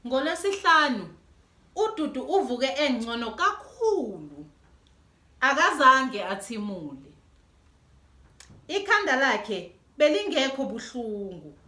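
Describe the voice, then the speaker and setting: A woman reading a children's storybook aloud in an animated way as host. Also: high-pitched, slow pace, pauses often. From South Africa. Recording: echoey room.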